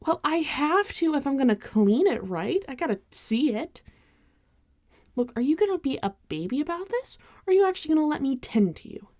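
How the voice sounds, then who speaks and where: A woman playing a gentle, rueful vampire bartender in an intimate ASMR roleplay, soft-spoken and hesitant, often dropping into a whisper. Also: sits high, big pitch swings, a little fast. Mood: mildly exasperated. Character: gentle, rueful female vampire bartender tending a wounded companion.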